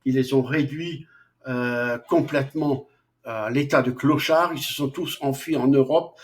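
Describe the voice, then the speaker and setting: A man lecturing on philosophy at an unhurried, deliberate pace. He talks off the cuff, stopping often for fillers and restarting his sentences. His voice sits at an ordinary middle pitch. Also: steady tone. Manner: slow, hesitant, frequent fillers and restarts. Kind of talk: unscripted lecture.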